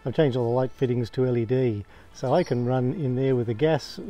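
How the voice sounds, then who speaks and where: A man's voice: mid-range voice, fairly even intonation, warm tone. An Australian man talking casually outdoors at home as he walks a camera around his camping gear, with plenty of ums and uhs. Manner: plain, unhurried, many ums and uhs. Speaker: camping vlogger. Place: outdoors, backyard by a caravan.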